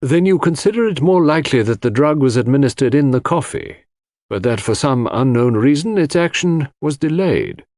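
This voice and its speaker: A man acts a formal coroner in a mystery audiobook, brisk and inquisitive. The questions swing upward with lively intonation, in a dry recording. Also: medium pitch, dry recording, voice pushed up, close to an everyday voice. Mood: probing, formal. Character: brisk, probing male coroner questioning witnesses.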